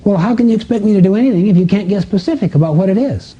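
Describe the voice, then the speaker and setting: A man's voice: high-pitched, rapid-fire, mellow, husky, pitched above the performer's own voice. Exasperated, condescending husband, as a male speaker acts him. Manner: impatient, badgering. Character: exasperated, condescending husband interrogating his wife. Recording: dull, lo-fi audio recording.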